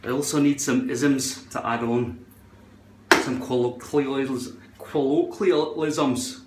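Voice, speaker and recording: Slow-talking man with a shaky put-on Scottish accent. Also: mid-range voice, little pitch movement, noisy background. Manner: rambling, repeats words, sweary. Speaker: vlogger demonstrating a hairpiece adhesive product. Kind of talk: rambling casual product tutorial. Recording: roomy, echoing indoor sound.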